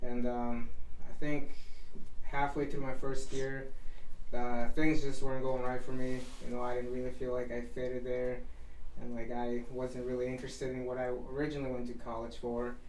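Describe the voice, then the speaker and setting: A young man from Guatemala talks loosely about himself, full of fillers and restarts. He sits back from the mic in a roomy space, and his delivery stays fairly flat. Faint ambience hums underneath. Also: medium pitch, normal speed, far from the mic, slight background noise. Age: 20s. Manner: relaxed, rambling, many fillers and restarts. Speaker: chef, podcast guest. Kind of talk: casual interview talk.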